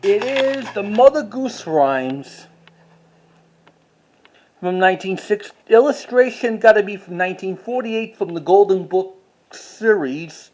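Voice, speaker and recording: Halting collector doing a casual show-and-tell. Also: mid-range voice, expressive, darkish tone. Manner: halting, with repeats and self-corrections.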